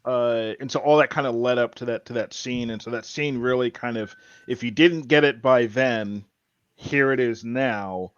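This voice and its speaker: A man with a mid-range voice talks through a show as a podcast co-host. He explains in a halting, think-aloud way. The sound is dry and slightly dull, with very little room in it. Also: mid-range voice, clear, resonant tone, no echo. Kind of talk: casual discussion of a TV show.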